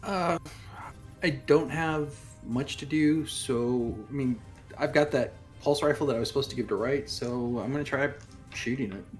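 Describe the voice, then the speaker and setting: Guy at an rpg table, regular mid voice, casual talk, some music playing low. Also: normal speed. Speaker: tabletop game player. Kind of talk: casual game-table chat.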